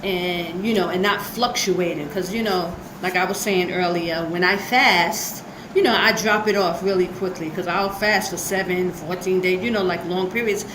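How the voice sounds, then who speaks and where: A woman's voice: lowish voice, steady tone, moderate pace, echoey room, noisy background. A woman hosting her channel, talking earnestly and rambling about her diet and about preparing, with many fillers. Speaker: channel host. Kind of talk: casual reaction commentary.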